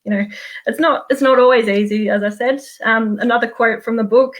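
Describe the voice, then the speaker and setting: A woman's voice: fairly high pitch, fairly even intonation, brisk. Australian woman, chatty and earnest. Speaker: meetup guest speaker, small business owner. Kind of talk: casual book review to a group. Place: home office, on a video call.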